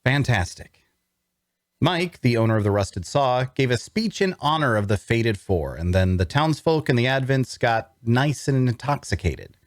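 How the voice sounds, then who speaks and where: A man's voice: mid-range voice, sing-song, moderate pace, dry recording, studio quality, lightly acted. An actor playing a sardonic, haunted necromancer outcast who narrates his own tale in a wry, theatrical way for an audio drama. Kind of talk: first-person dramatic narration, scripted.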